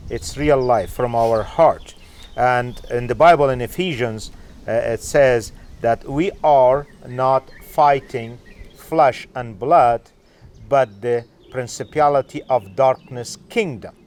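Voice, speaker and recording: A man from Afghanistan, hosting a podcast interview and asking questions in halting English full of "uh" fillers. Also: medium pitch, a little mellow. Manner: halting, frequent "uh" fillers, non-native phrasing. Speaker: podcast host.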